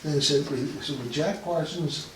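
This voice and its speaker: A man with a slightly high voice argues his case as a resident at a meeting. He sounds distant in a heavily echoing room with faint noise. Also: slow pace. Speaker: resident property owner. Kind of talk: public meeting discussion. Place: indoor public meeting room.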